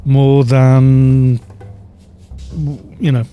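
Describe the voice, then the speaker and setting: A man chatting casually on a game livestream, soft-spoken and rambling, with little chuckles, into a studio microphone at home. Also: medium pitch, level tone, drawn-out words, dull audio, a little hiss or hum. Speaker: livestream gamer. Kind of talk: casual chat while gaming, reading chat.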